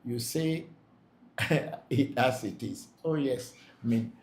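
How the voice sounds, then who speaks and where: A man's voice: medium pitch, takes it slowly, a little mellow. A man who is a Vodu priest hosting a live question-and-answer session, reading out questions and answering them plainly, often repeating himself for emphasis. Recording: echoey room, faint background noise.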